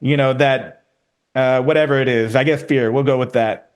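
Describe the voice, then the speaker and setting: A man hosting a casual podcast, asking long halting questions full of restarts and fillers. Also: mid-range voice, steady tone. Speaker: podcast host.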